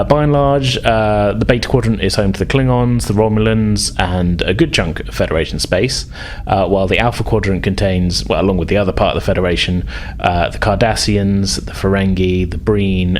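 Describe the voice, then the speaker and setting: A man runs a tabletop role-playing game as game master. His voice sits fairly low and his delivery is halting. A light layer of noise runs under the recording. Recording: noisy home recording.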